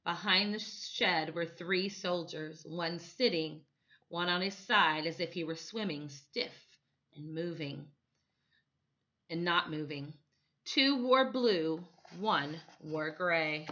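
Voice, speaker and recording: A woman reading a children's story expressively, with dramatic, deliberate phrasing, on a slightly dull recording. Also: lowish voice, bright, forceful tone. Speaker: storytime reader.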